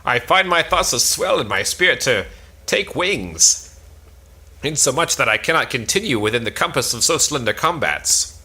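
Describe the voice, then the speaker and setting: A man's voice: mid-range voice, animated, normal speed, bright, forceful tone, rough recording. Man playing a pompous, blustering, bloodthirsty knight. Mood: boastful. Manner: pompous, bombastic, declamatory. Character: Sir Tophas, boastful bloodthirsty pompous would-be knight.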